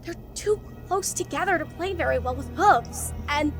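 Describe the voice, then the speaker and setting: A woman voicing an excitable young female pony obsessed with humans, rambling eagerly in an audio drama. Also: sits high, normal speed, faint music behind. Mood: absorbed, earnest. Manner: eager, insistent, rambling enthusiasm. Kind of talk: animated fan audio drama acting.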